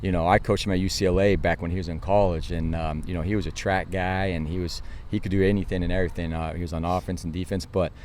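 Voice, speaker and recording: A man's voice: sits low, level tone, quick pace, hardly any pauses, darkish tone, slight background noise. An American man, a football coach, answering interview questions in an earnest, explanatory and informal way.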